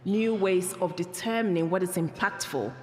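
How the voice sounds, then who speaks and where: Ghanaian woman speaking in a reverberant hall. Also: fairly low pitch. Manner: earnest, reflective, with halting restarts. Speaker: peacebuilding speaker. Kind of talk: talk with Q&A answers. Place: church hall.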